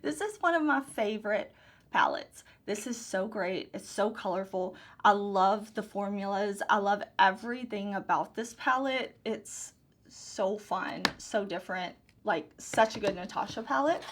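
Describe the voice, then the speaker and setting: Woman, 30s, enthusiastic beauty vlogger, higher-pitched, chatty and effusive. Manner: gushing, enthusiastic, repeats phrases. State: hoarse, recovering lost voice. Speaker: beauty YouTuber. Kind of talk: casual product overview.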